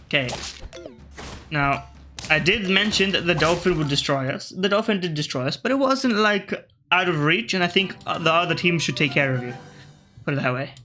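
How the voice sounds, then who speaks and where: A man with an Australian accent, shifted by British media, talking over his gameplay in a casual way. His voice sits a bit high and sounds bright and forceful. He thinks out loud, catches himself mid-sentence and throws dry remarks at the players he faces. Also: slight background noise. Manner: thinks aloud, self-correcting, wry asides to opponents. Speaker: gaming video creator.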